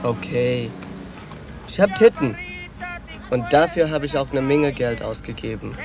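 An actor playing a sassy, world-weary transgender prostitute, flirtatious, candid and wistful, in a radio drama. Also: male voice, mid-range voice, a little slow, gravelly. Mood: candid, brazen. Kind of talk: 1980s German radio drama acting.

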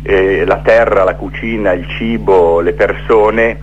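Middle-aged male guest, lowish voice, reflective storyteller on a muffled phone-in with faint hum. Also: fairly even intonation, takes it slowly. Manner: reflective, builds rhythmic lists. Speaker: theatre maker interviewed as guest. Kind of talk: radio interview, storytelling. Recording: dull, noisy phone-in with hum.

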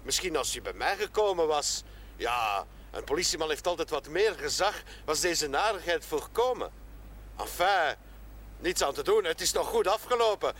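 An actor playing a self-assured, folksy village constable in a television drama, matter-of-fact and a bit officious. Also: male voice, fairly high pitch, expressive, normal speed, a bit bright. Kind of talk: 1970s television drama acting. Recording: faint noise on old TV audio.

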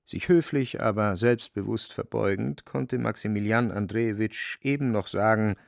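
A man narrating a literary radio play as scripted storytelling, with a creaky voice. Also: on the low side, moderate pace, dry recording, clean studio sound.

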